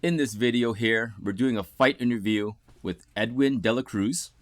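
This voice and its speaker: A man's voice: mid-range voice, moderate pace, no room sound. A man from Ontario hosting a casual interview, upbeat and chatty. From Ontario, Canada. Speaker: interview host. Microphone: video call setup.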